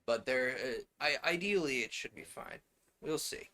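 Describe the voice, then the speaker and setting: A man's voice: medium pitch, normal speed. A man streaming from home, chatting casually and thinking aloud while he mends jeans. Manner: relaxed and rambling, thinking aloud. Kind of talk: casual chat. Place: home, indoors.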